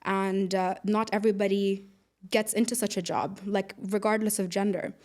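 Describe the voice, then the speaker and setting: Podcast guest: woman from the UAE, mid-pitched, informal conversational explainer and storyteller. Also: level tone, normal speed, a little mellow. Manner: informal, explains with everyday analogies. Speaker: podcast guest, air traffic controller.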